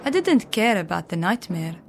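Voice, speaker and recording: A woman's voice: lowish voice, sing-song, normal speed, background noise. Hopeful teenage girl reading her diary. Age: teens. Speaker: student blogger. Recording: with background music.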